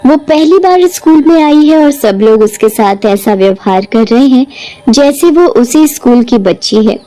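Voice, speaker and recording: Mellow high-voiced woman narrating a storybook. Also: rough recording. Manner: animated, shifts into character voices.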